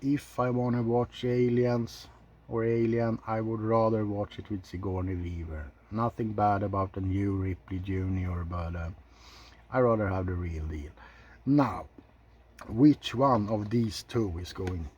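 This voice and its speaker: A man's voice: fairly low pitch, steady tone, measured pace, darkish tone, slightly rough. A man hosting an ASMR film ranking, chatting in an informal, rambling way with some mild swearing. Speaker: video host reviewing films.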